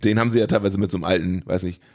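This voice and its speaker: Low-pitched man, casual podcast co-host. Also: fairly even intonation, very fast, darkish tone. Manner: colloquial, jokey. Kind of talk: casual banter, reading out news and TV listings.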